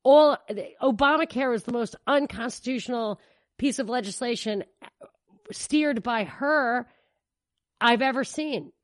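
A woman's voice: a little high, unhurried, dry recording. An American woman co-hosting a podcast, giving casual, opinionated and emphatic political commentary. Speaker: podcast co-host.

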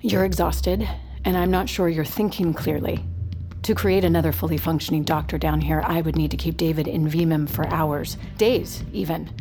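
Assertive scientist, lower register, reasoned delivery, audio drama. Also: female voice, fairly even intonation, moderate pace, music in the background. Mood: firm, exasperated. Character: firm female scientist standing up to her boss.

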